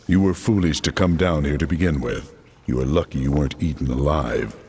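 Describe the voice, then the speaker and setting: A man's voice: rumbling low, steady tone, fairly quick, a little mellow, breathy edge, exaggerated voice. An actor playing a stern, blunt male warrior hero in a video game. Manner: blunt, curt.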